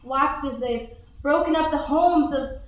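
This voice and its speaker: Girl performing slow, high-voiced grieving young widow. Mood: hurt, pleading. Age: early teens. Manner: slow, deliberate, impassioned. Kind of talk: theatrical stage monologue. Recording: very echoey hall performance.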